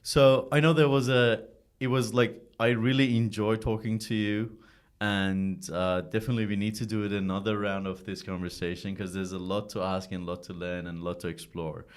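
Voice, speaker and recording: A man with a non-native English accent hosting a casual podcast interview, friendly and quick to laugh. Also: on the low side, flat delivery. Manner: friendly, laughs often, trailing off softly at sentence ends. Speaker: podcast host. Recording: echoey room.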